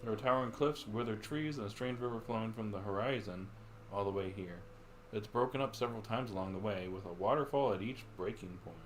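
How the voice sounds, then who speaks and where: A man livestreaming a game, reading the dialogue aloud in a halting, dramatic way. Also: on the low side, a little hiss or hum, rough recording. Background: game music.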